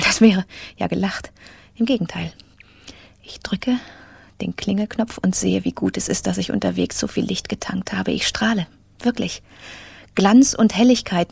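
An actress playing a self-absorbed, steely professional woman in an intimate, controlled radio drama monologue, with a breathy voice and brittle confidence. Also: mid-range voice, darkish tone, dry recording, exaggerated voice. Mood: self-assured.

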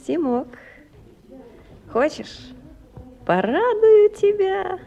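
A woman's voice: very high voice, very animated, very slow, creaky, mellow, exaggerated voice. An actress playing a playful, coaxing cashier who teases a friend as matchmaker in a radio play, savouring her words. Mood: playful, admiring.

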